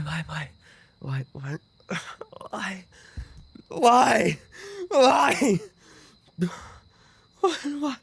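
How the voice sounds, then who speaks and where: A man playing an obsessive, unstable yandere roommate in an audio roleplay, with an intimate, halting delivery. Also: no room sound, exaggerated voice. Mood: anguished, pleading. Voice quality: low, gravelly. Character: obsessive male yandere roommate, soft-spoken, darkly devoted, unstable. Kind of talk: boyfriend ASMR audio roleplay.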